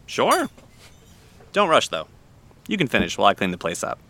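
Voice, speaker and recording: An actor playing a friendly, chatty small-town cafe owner with a folksy, easygoing manner in an audio drama. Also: male voice, fairly low pitch, wildly swooping pitch, moderate pace, pushed and bright, heavily acted. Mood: friendly, casual. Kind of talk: naturalistic audio drama acting.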